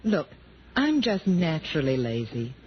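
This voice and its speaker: Candid woman customer, very lively pitch, 1940s radio advert, faint hiss. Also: unhurried, highs cut off. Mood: frank, curious.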